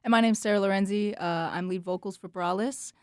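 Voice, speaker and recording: A woman's voice: mid-range voice, steady tone, a little fast, a little mellow, clear, resonant tone, dry recording, studio quality. A young woman from North Carolina, lead singer of a student band, telling stories in a playful, dramatic way. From Charlotte, North Carolina. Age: young adult. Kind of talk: casual interview storytelling.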